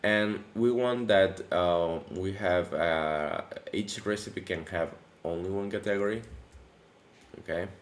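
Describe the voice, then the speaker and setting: A man hosting a casual coding tutorial, his voice deepened by allergies, talking in a relaxed, chatty way with many fillers and restarts. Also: slow pace. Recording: echoey room with faint background noise.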